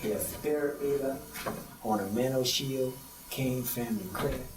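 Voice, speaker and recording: A man doing voice-over takes for a game, reading a list of items in a measured way. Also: medium pitch. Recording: roomy, distant, noisy archive clip.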